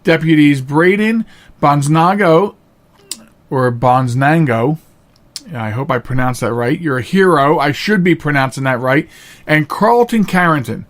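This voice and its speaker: A man hosting a podcast in a casual, unscripted monologue, earnest and plain-spoken, halting at times and repeating phrases for emphasis. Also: on the high side, expressive. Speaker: podcast host.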